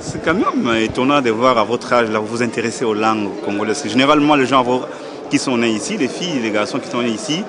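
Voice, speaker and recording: A man with a mid-range voice interviews guests, asking direct and probing questions. A busy crowd chatters loudly in the hall around him. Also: mid-range voice, quick pace. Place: indoor book fair hall.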